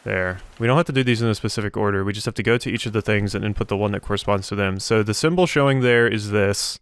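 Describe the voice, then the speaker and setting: A man's voice: on the low side, fast talker, clean tone, dry recording. A man doing casual let's play commentary, thinking aloud as he plays.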